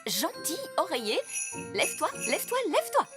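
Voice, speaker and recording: A woman's voice: high voice, big pitch swings, normal speed, music in the background. A woman voicing a bustling, overconfident fairy nanny in a French cartoon dub, theatrical and self-assured. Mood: chanting spells.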